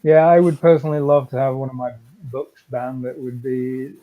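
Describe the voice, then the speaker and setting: A man's voice: medium pitch, flat delivery, moderate pace. Muffled recording of a wry male co-host. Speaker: co-host of a live book-reading show. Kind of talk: casual group chat. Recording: muffled, band-limited sound.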